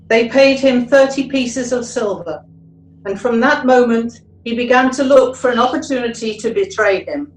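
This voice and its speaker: A woman narrates scripture as a lay reader. Her voice is a little high, measured and solemn, with clear phrasing. The room rings with noticeable echo. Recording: echoey room.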